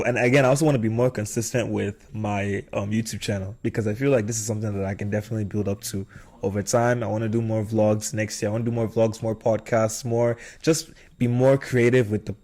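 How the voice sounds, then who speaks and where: A man's voice: lowish voice, little pitch movement, a little fast, a little mellow. A young adult man hosting a podcast in a casual conversational style. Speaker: podcast host.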